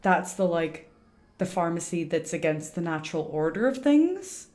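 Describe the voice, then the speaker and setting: A woman's voice: on the low side, very animated, normal speed, darkish tone. A woman giving casual live game commentary, chatty and teasing as she talks back to the characters. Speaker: game streamer.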